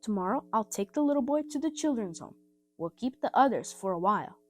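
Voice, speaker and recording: A woman's voice: on the high side, expressive, fairly quick, darkish tone. A woman voicing a stern, decisive male baker with a firm, matter-of-fact manner in a storybook reading.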